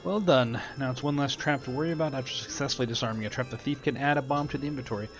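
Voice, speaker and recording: A man's voice: mid-range voice, rapid-fire, music in the background. A man giving casual gameplay commentary, muttering to himself and reading tips aloud. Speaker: game video host.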